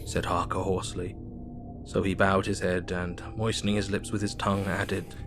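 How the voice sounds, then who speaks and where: Casting: sombre male diarist-narrator, low-pitched, observant, measured, Victorian audio fiction over music. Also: flat delivery. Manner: measured, grave. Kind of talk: dramatized scripted narration.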